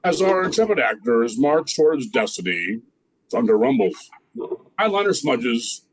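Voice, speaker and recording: An older man playing a pompous, solemn narrator with a deep, authoritative voice in an amateur radio comedy. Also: sing-song, measured pace, slight background noise, rough recording. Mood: grandly solemn. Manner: pompous, theatrical.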